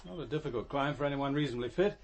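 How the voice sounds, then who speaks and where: An actor playing a courteous, cerebral chief inspector in a radio drama, with an understated, probing manner. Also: male voice, medium pitch, rapid-fire, slight background noise, natural voice. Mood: cool, inquisitive. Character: cool, cerebral, courteous male Scotland Yard chief inspector.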